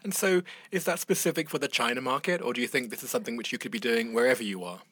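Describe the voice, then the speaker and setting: A man's voice: mid-range voice, fast talker, fairly bright tone, no echo. A man hosting a conversational interview, asking questions and responding to his guest. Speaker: interviewer, host.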